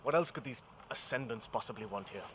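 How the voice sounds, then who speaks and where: An actor playing a loyal, wry adventuring companion in a video game, speaking earnestly with dry humor. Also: male voice, medium pitch, lively intonation, quick pace, a bit bright, a little hiss or hum, lightly acted. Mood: worried, resolute. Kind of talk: dramatic video game acting.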